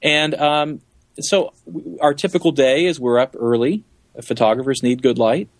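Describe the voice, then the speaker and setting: A man's voice: a little high, normal speed, no room sound. A man giving enthusiastic, explanatory answers as a guest safari guide in a conversational interview.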